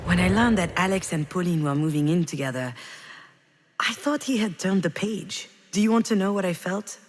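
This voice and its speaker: A woman's voice: fairly low pitch, expressive, normal speed. An actress playing a melancholy, regretful woman in a video game, confiding hesitantly in an introspective monologue. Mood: confessional. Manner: hesitant, confessional, reflective. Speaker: video game protagonist narrating her memories.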